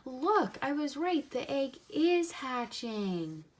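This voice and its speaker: A woman reads a picture book aloud for young children, expressively, pausing to add simple comments of her own. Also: sits high, sing-song, slight background noise. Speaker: storybook reader.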